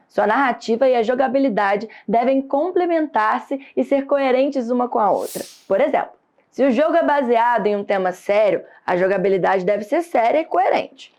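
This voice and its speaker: A woman with a Brazilian Portuguese accent, reading a scripted lesson in a steady, explanatory way. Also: a little high. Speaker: course instructor. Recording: dull lo-fi sound.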